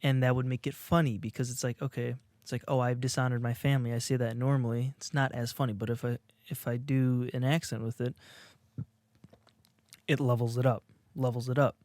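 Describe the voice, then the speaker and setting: A young man talks off the cuff on a podcast, mocking himself and restarting his sentences as he goes. His voice sits in an ordinary middle pitch. The recording is dry and close, with no room sound. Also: flat delivery, fairly quick, a little mellow, no room sound. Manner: rambling, self-mocking, many restarts. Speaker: podcast co-host. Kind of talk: casual comedic monologue.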